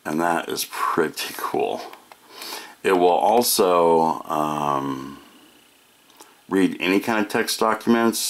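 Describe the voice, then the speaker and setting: Very deep-voiced, slow-talking American man. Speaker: product reviewer. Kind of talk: casual product demonstration.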